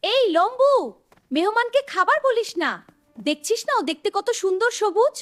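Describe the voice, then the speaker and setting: A woman's voice: very high-pitched, lively intonation, moderate pace, music in the background. A woman voicing a hungry, chiding female ghost in a cartoon, with a bossy, scolding manner.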